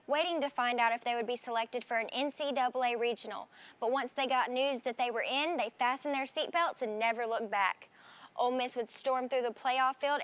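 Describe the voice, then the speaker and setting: A woman with a high, light voice delivers a sports news update. Her reading is crisp and steady, in a practiced newscaster style. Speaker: sports anchor.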